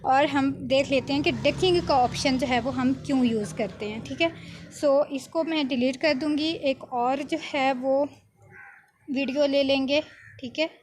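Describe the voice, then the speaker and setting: High-pitched woman teaching. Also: unhurried, a little mellow, a little hiss or hum. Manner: step-by-step, frequent check-in tags. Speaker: video editing instructor. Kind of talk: informal software tutorial narration.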